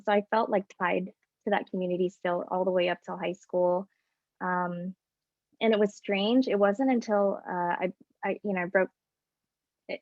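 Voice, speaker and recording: Woman panelist, mid pitch, earnest and chatty; dry, dull call audio. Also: no echo. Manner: earnest, storytelling, many fillers. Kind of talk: casual panel talk.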